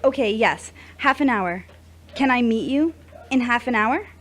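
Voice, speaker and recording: A woman's voice: a little high, animated, a little slow. A woman playing a troubled, earnest young woman in an audio drama, speaking confessionally. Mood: hesitant, anxious. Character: troubled young woman opening up about past grief. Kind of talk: amateur audio drama acting.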